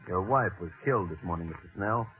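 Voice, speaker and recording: An actor playing a matter-of-fact, awkward police lieutenant, speaking haltingly in a 1940s radio drama. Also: male voice, low voice, close to an everyday voice. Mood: grave, awkward. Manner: halting, deliberate. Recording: narrow-band old radio recording.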